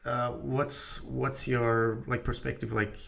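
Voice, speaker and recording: A man's voice: medium pitch, flat delivery, slow pace, vocal fry, a bit bright, slight background noise. A man hosting an interview, asking long, rambling questions that stall on "like" and "you know". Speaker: host.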